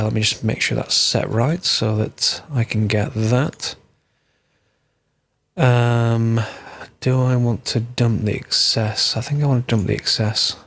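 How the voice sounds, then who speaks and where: Slightly hoarse man with gravelly voice. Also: fairly low pitch, no echo. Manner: rambling, self-correcting, thinking aloud. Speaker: game tutorial host. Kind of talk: casual gameplay tutorial.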